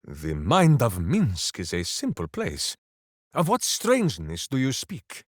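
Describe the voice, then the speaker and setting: A man's voice: mid-range voice, wildly swooping pitch, slow, no echo, clean studio sound, exaggerated voice. A man voicing a big-hearted, simple-minded heroic warrior in a video game, bombastic and earnest, speaking of himself in the third person. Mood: earnest, puzzled. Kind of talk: video game character voice acting.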